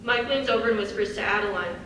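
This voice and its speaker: A woman's voice: mid-range voice, quick pace, fairly bright tone. A young woman reading stage directions as scripted narration for a live dramatic reading. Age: young adult. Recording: distant echoey hall, faint noise.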